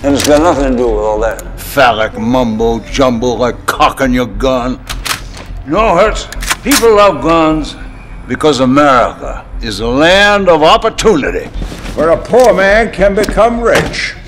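An actor playing a menacing older male crime boss who talks in a measured, preaching way in a film scene. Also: medium pitch, animated, noisy background, natural voice. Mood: lecturing. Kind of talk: film dialogue. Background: film score.

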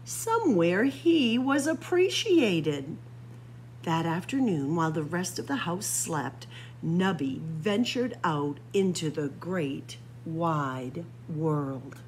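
A woman reading a children's picture book aloud expressively, with dramatic pauses and drawn-out emphasis. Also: fairly low pitch, very animated, darkish tone, a little hiss or hum. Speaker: library story-time reader. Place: library room with bookshelves.

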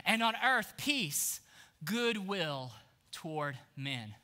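A man in his thirties preaching an energetic sermon on a church stage, swinging from hushed lines to shouts. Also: sits high, drawn-out words. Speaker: pastor.

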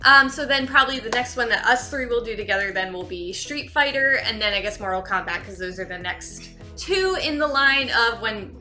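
A woman's voice: fairly high pitch, animated, a little fast, hardly any pauses, pushed and bright, faint music behind. A woman in her thirties chatting casually and informally as she hosts a movie reaction, often trailing off mid-thought. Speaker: host of a movie reaction video. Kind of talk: casual chat, reacting to a film. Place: living room couch, indoors.

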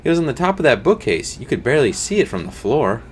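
A man's voice: mid-range voice, sing-song, quick pace, slight background noise, pitched above the performer's own voice, lightly acted. A man voicing an eager young woman in a story he is reading aloud, raising his voice for her. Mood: pleased.

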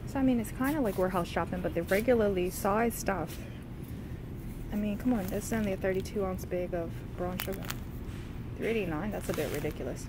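A woman's voice: medium pitch, brisk, noisy background. A woman in her thirties filming a casual shopping vlog on a phone, chatting wryly about prices as she walks through a store. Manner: chatty, wry price commentary. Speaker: vlogger. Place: outdoor parking lot, then inside a store.

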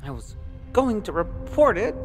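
A woman's voice: medium pitch, big pitch swings, a little slow. A woman playing a nervous, secretive informant in a science fiction audio drama, hesitant and confiding. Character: nervous, secretive female informant in a space empire. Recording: long reverberant hall, music behind.

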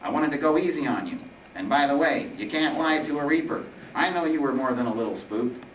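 A man's voice: mid-range voice, expressive, brisk, voice pushed up, lightly acted. A man voicing a petty, sarcastic Grim Reaper with a nasal, whiny, long-winded delivery in a storybook reading. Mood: defensive. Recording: roomy, distant mic, faint noise.

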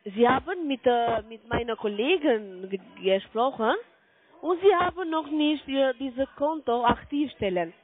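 High-pitched foreign-accented saleswoman on the phone. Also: lively intonation. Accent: foreign accent in German. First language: not German. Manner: halting, insistent questioning. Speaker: call center sales agent. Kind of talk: telephone sales call. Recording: narrow-band phone line.

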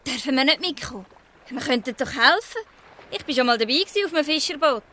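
A woman's voice: high-pitched, expressive, quick pace, pushed and bright. An actress playing a bold, cheeky girl gang leader in a Swiss German dialect radio play, speaking with confidence. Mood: eager.

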